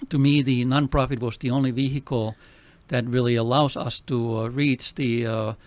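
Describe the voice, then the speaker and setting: Earnest Finnish-accented man, halting speech. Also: mid-range voice, steady tone, darkish tone, no echo. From Finland. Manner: halting, many fillers, earnest. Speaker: nonprofit president, radio guest. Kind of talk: radio interview.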